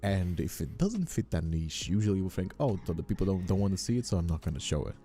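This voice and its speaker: A man whose first language is Spanish chats casually as a voice actor and creator, halting and often repeating himself. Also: deep voice, very animated, mellow. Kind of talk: casual chat. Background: music.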